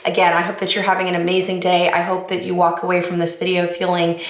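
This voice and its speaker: Woman makeup vlogger, chatty and upbeat, slightly raspy from allergies, lowish voice, roomy echo. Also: monotone, fast talker. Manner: upbeat, enthusiastic, rambling. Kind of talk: casual chatty update. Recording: echoey room.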